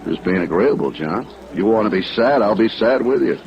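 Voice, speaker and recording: A man's voice: fairly low pitch, expressive, normal speed. An American man acting an agreeable, dryly sympathetic colleague in a 1950s radio drama, laconic and folksy. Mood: agreeable, wry. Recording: muffled vintage radio recording, clear noise.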